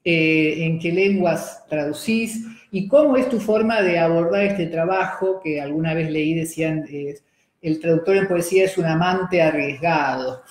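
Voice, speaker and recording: A woman's voice: low voice, a little slow, a little mellow. A middle-aged woman with an Argentine accent hosting an interview and asking hesitant questions with fillers, seated at a table in a room. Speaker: host. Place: room with wooden table and screen. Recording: roomy echo.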